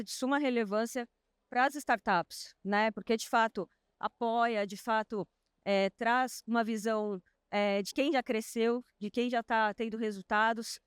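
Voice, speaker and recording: A woman opens a panel discussion at an event. Her voice is smooth and fairly high, and it sounds dry and close. She talks casually, full of hesitations and self-corrections. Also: no room sound. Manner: hesitant, many fillers and restarts. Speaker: panel moderator. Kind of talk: conversational panel introduction. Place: outdoor stadium event stage.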